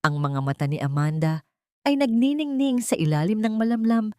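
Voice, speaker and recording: A woman's voice: mid-range voice, big pitch swings, moderate pace, warm tone, no room sound, studio quality. A woman narrating a scripted audio drama in a solemn, suspenseful storytelling manner.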